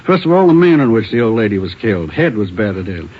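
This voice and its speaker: An American forensic technician with a husky voice. He explains methodically and with emphasis, in old radio drama style on a muffled recording. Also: fairly low pitch, sing-song, fast. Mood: firm, explanatory. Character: insistent, methodical male police crime lab technician.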